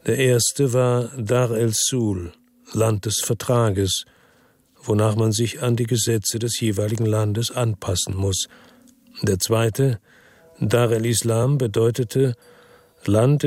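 An actor playing a weary, brooding middle-aged narrator in a radio drama, speaking in a somber, measured, reflective way. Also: male voice, on the low side, a little mellow. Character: weary, brooding middle-aged male first-person narrator, world-worn investigator.

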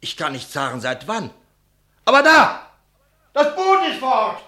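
A shaken, animated innkeeper witness. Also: male voice, sits high, a little slow, heavily acted. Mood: agitated. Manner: dramatic, hushed moments rising to loud exclamations. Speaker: actor. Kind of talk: 1960s German radio play acting.

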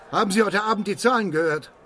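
A fatalistic man with something to hide in a radio drama. The voice is on the high side for a man. He sounds evasive and defensive, and grows halting under pressure. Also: bright, forceful tone, a little hiss or hum. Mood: dismissive, fatalistic. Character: evasive, fatalistic, jittery man hiding a criminal past.

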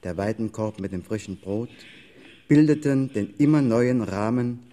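A man's voice: fairly low pitch, unhurried, mellow. A man reading literary prose aloud in an archive recording from the 1960s. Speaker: author and translator.

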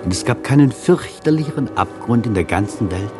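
A man reading a literary story as dramatic narration, in a deliberate and intense storytelling manner. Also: mid-range voice, lively intonation. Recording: music behind the voice. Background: music.

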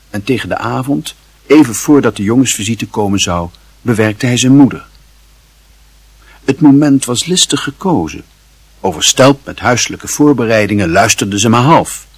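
A man's voice: on the low side, breathy edge, dry recording. A man reading scripted literary narration as the narrator of a radio drama.

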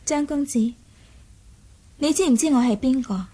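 A woman's voice: sits high, moderate pace, dark tone, natural voice. A woman playing a playful, poised and commanding young Mongol princess in an audio drama. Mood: poised, teasing. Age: young adult. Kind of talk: Cantonese audio drama acting.

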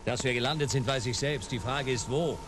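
An actor playing a sardonic, exasperated private detective with a dry, sarcastic manner in radio play dialogue. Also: male voice, medium pitch, brisk, background noise, close to an everyday voice. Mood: sarcastic, impatient.

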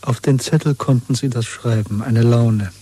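Husky, guarded man acting a weary dissident. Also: medium pitch, fairly even intonation, normal speed, mellow, natural voice. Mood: bitter, confessional. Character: wary, weary man nearing forty, secretive dissident. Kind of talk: 1970s German radio drama acting.

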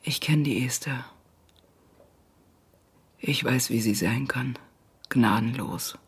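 A grieving, stubborn mother in a literary radio drama. Her voice is deep for a woman and extremely breathy, often sinking toward a whisper. She speaks slowly and haltingly, refusing to believe what she is told. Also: heavily acted. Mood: numb, bitter. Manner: halting, short numb sentences. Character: shattered mother told of her daughter's death, stubborn, disbelieving.

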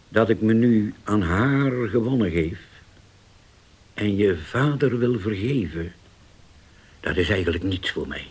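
An actor playing a grave older uncle in a radio drama, deliberate and halting, once vengeful and now forgiving. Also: male voice, on the low side, a little mellow, breathy edge, full character voice. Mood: humbled, earnest.

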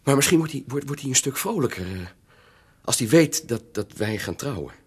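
An actor playing an earnest, lovesick young doctor in a radio drama, stammering and husky as he woos. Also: medium pitch, lively intonation, normal speed. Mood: hopeful urging. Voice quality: very breathy, husky.

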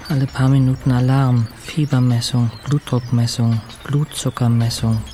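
An actor playing a middle-aged intensive-care patient, narrating his ordeal soberly in terse, deliberate phrases for a radio drama. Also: mid-range voice, flat delivery, noisy background, lightly acted. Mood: weary, sober.

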